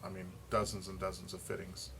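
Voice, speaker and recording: A man's voice: low voice, monotone, a little fast, gravelly, a bit bright. A man who works at a golf shop, chatting casually and conversationally. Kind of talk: casual chat. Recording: noticeable background noise.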